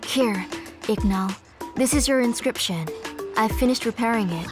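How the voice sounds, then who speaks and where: A woman voicing a caring, polite mother in an anime video game, speaking gently. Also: fairly high pitch, normal speed, music in the background, natural voice. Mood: gentle, caring. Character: caring, gentle mother of sickly young daughter.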